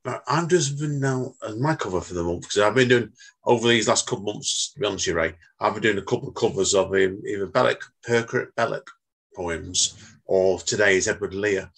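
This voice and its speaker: A man's voice: lowish voice, vocal fry, husky, rough recording. A man hosting a casual podcast chat, joking and laughing as he moves things along. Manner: chatty, laughs often, quick asides. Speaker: podcast host.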